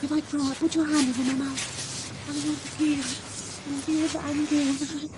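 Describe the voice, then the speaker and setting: Slow, passionate young woman. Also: sits high, level tone, noisy background. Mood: giddy, passionate. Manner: intimate, emotional, dramatic. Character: passionate young woman in love, narrating her own romance. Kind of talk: radio drama acting with first-person narration.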